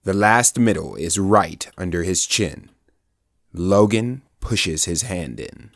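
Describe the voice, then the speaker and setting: A man's voice: deep voice, animated, takes it slowly, gravelly, fairly bright tone, dry recording, clean studio sound, heavily acted. A man narrating superhero action in an audio drama, in a scripted dramatic storytelling style. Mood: dramatic narration.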